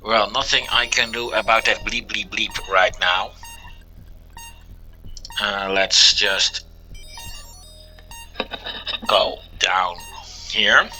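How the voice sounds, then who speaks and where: A man with a Dutch accent, a native Dutch speaker, casually commentating on a game he plays, relaxed and hesitant with fillers. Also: lowish voice, very slow, pauses often, faint music behind, low-quality recording. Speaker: let's play commentator.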